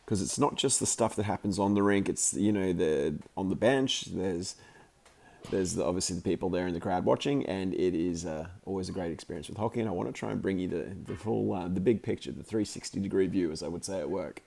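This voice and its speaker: A man just over the flu, his voice croaky, giving chatty, rambling commentary over footage of a hockey game he filmed, with plenty of fillers and restarts. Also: sits low, brisk, a little mellow. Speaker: amateur hockey commentator and video maker.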